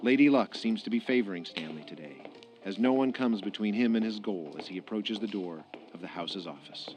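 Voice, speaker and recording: A man's voice: lowish voice, brisk, a little mellow. A man reading dramatic scripted narration as the narrator of a radio drama. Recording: music bed under narration.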